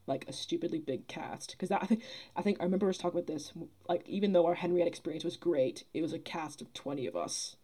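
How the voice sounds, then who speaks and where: A young woman talking casually on a podcast, halting as she thinks aloud. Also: lowish voice, dark tone, slightly rough. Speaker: guest, theatre producer.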